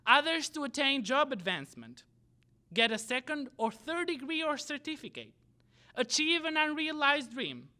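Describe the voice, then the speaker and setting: A young man with an Albanian accent, giving a prepared graduation speech as student body president in measured, deliberate phrases. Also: extremely high pitch, bright, forceful tone.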